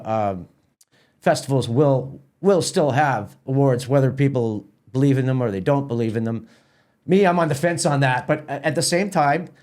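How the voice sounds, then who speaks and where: A middle-aged Canadian man talks casually as an interview guest. His voice sits at an ordinary medium pitch, and he explains things haltingly, often doubling back on his words. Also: darkish tone. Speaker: theatre company president, interview guest.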